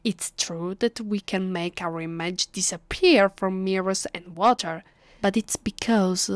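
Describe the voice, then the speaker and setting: Woman acting haughty vampire lady w italian accent, asmr roleplay, soft n whispery, super slow, close dry mic, bossy. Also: mid-range voice, dry recording, lightly acted. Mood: mocking, proud. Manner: condescending, commanding, unhurried. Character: haughty, teasing ancient female vampire mistress. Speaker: voice actress. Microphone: close, dry microphone.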